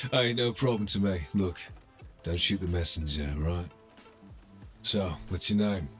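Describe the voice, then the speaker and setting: A man's voice: sits low, animated, a little slow, a bit bright, soft background music. A man playing a cocky, sardonic criminal middleman in a cyberpunk ASMR roleplay, casual and streetwise, teasing as he talks.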